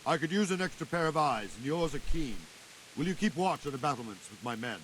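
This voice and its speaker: A medieval captain of the guard in a game, stern and dutiful. His somewhat high voice has brisk military bite. Faint rain and hiss run underneath. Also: lively intonation, fairly bright tone, low-quality recording. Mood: businesslike. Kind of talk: dramatic game cutscene acting.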